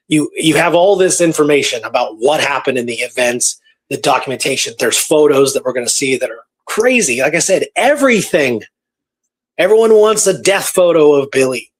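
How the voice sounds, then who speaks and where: Man, fairly high voice, radio host, casual storytelling, emphatic repetition. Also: low-quality recording. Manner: emphatic, repeats phrases for effect.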